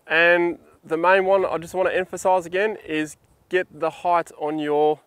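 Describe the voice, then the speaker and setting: Australian male presenter, smooth clear voice, dry close sound, practical and colloquial explainer delivery. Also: on the high side, little pitch movement, moderate pace, fairly bright tone, no echo. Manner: plain-spoken, colloquial, practical. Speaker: instructional video presenter. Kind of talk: informal instructional explainer.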